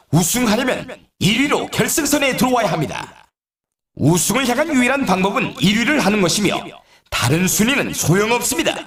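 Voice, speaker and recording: A man voicing an excitable snowboard race announcer in a video game, with polished, showy, emphatic commentary. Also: high voice. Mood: emphatic, announcing.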